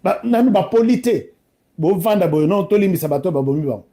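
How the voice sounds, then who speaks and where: A man's voice: high voice, animated, brisk, warm tone. A man from DR Congo with a Congolese accent, giving informal, emphatic political commentary that addresses listeners directly and switches between two languages.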